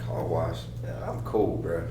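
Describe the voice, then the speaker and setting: A man's voice: low voice, steady tone, unhurried. A man at a script table read playing a harried, evasive young man with a slangy, brusque way of talking. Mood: dismissive. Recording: distant, echoey room with hum.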